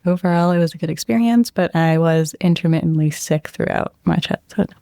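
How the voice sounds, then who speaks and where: A young woman with a low, gravelly voice talks through her past in a soft, reflective way. Her tone is mellow and the sound is close and dry, with no room around it. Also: fairly quick, dry recording. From Israel, raised near Boston. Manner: soft-spoken, hedging with "like" and "I think". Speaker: internal medicine resident, interviewee. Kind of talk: reflective interview answers.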